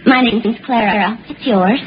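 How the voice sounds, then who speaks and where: A woman's voice: on the high side, unhurried, music in the background, close to an everyday voice. A woman playing an evasive, coy young blonde schemer with a sassy, wary manner in a 1960s radio drama. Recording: muffled, noisy 1960s radio broadcast.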